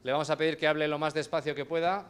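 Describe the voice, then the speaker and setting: A man's voice: on the high side, fairly even intonation, fast, a bit bright, clean tone. A man moderating a panel, introducing each speaker in a loose, chatty way with many fillers.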